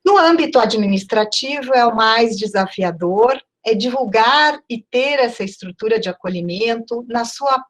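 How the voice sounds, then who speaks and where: A woman professor from Porto Alegre giving a measured, formal closing talk at an online university event. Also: a little high, fairly bright tone. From Porto Alegre, Brazil. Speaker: guest professor.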